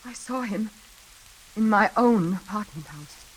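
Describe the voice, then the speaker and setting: An actress in a 1940s radio suspense drama playing an anxious, frightened woman in her thirties, speaking haltingly and dramatically. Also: mid-range voice, expressive, husky, rough recording. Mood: uneasy. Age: 30s. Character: frightened single woman haunted by her mother's killer. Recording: old radio recording, faint music behind.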